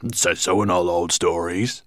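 A man's voice: low voice, steady tone, normal speed, no echo, clean studio sound. A man voicing a secretive, self-important, grumbling little mountain dwarf in a children's storybook. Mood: greedy, important.

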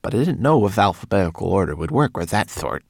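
A man voicing a tiny, clever, excitable male homunculus in a storybook, with a fussy, exclamatory manner. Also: sits low, fast, vocal fry, no echo, studio quality, exaggerated voice. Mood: drowsy, disdainful.

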